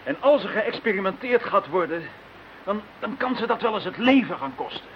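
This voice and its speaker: A man's voice: high-pitched, animated, brisk. An actor playing an anxious, pessimistic man in a radio thriller, hesitant and repeating words under strain. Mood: worried, doubtful. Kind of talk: Dutch radio play acting. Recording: dull, hissy radio play recording.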